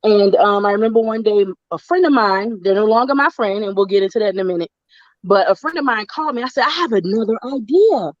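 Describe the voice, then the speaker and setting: A woman's voice: medium pitch, fast, no room sound, muffled recording. A middle-aged woman from Florida, a writer guest in a casual online interview, talking in loose rambling runs with fillers and restarts. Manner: chatty, many restarts and fillers, laughs often. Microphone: video call.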